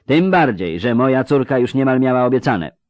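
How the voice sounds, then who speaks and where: A man's voice: medium pitch, expressive, unhurried, darkish tone, dry recording, studio quality, voice pushed up. Man playing a grating, indignant old-guard nobleman. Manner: indignant, haughty. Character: gruff dynastic zealot, a proud male nobleman.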